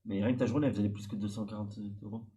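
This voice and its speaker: A man's voice: fairly low pitch, steady tone, very fast, dark tone. A man from France, older than his host, chatting casually and explaining at length with many hesitations. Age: adult older than the host. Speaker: stream guest. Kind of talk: casual chat.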